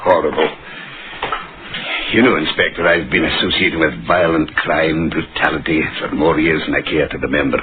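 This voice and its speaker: An actor playing a seasoned, sombre police doctor in an old radio crime drama. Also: male voice, rumbling low, fairly even intonation, moderate pace, narrow, tinny audio. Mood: appalled, sombre. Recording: noisy, thin old radio recording.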